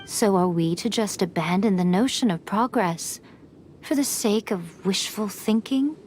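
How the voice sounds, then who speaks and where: A woman's voice: medium pitch, a little slow, darkish tone, a little hiss or hum, lightly acted. A woman playing a poised, challenging figure of mystic authority in a video game, with a probing, pointed delivery. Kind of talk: dramatic video game acting.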